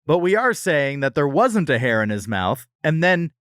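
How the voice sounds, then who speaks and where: Male podcast co-host, lively swooping delivery, casual and jokey, prone to hyperbole, dry studio sound. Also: fairly high pitch, normal speed, clear, resonant tone, no room sound. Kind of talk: casual comedic food review chat.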